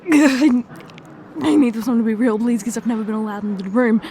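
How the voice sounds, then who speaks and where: A distraught young fiancée, played by a woman in an audio roleplay, her high voice shaky and tearful. She stammers and repeats herself, the words coming out in halting bursts. A faint hum of background noise sits under the voice. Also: mellow, lightly acted. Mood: pleading. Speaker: ASMR roleplay performer.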